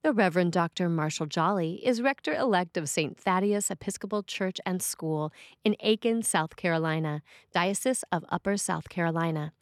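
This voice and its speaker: A woman priest reading a written sermon for a podcast in an expressive way. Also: mid-range voice, no echo, studio quality.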